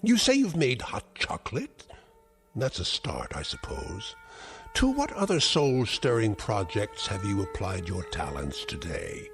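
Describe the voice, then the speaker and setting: An actor playing a cruel, sarcastic elderly uncle who is a scientist, taunting with mocking, theatrical insults. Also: male voice, fairly low pitch, huge pitch swings, a little slow, soft background music, full character voice. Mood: sneering. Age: older. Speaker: domineering uncle. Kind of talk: 1960s TV drama acting.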